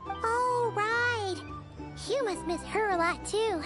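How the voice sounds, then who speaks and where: A woman's voice: very high-pitched, takes it slowly. A woman voicing a tiny childlike fairy companion in a video game, cheerful and chatty with exclamatory delivery. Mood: cheerful, eager. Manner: speaks of herself in third person, exclamatory, chirpy. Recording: background music under the voice.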